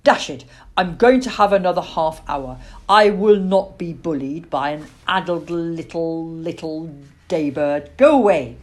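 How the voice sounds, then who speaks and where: A woman's voice: low-pitched, a little slow. A woman voicing a sleepy, grumpy father barn owl in a children's story, blustering and pompous. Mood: grumpy, exasperated.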